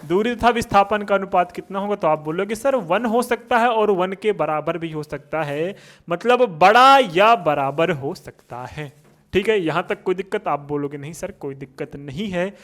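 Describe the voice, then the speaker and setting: A man's voice: high-pitched, lively intonation, moderate pace, fairly bright tone. A male teacher giving a physics lesson for exam students, asking questions and answering them himself.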